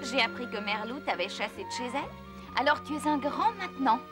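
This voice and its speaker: A woman voicing a tiny, excitable, naive young jungle animal in an animated cartoon. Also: sits high, fairly quick. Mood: sincere. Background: music.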